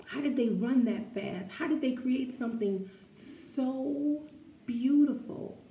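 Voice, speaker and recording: A woman reads a picture book to children in an encouraging, expressive way. Her voice is mellow and her delivery slow and deliberate, leaning on key words. The room sounds echoey around her. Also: sits high, vocal fry. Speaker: children's story-time reader. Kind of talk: picture-book read-aloud with asides to children. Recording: echoey room with faint background noise.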